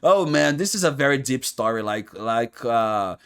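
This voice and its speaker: A man's voice: medium pitch, expressive, moderate pace, fairly bright tone, smooth. A man giving casual, sarcastic and joking reaction commentary as a host, with non-native phrasing.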